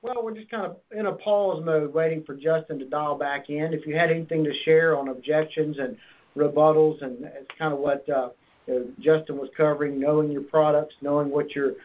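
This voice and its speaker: A man with a slightly high voice hosts a conference call. He talks in an explanatory business style, and the sound is dull and narrow, as heard through a telephone. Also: fairly even intonation, moderate pace. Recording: dull, band-limited conference phone line.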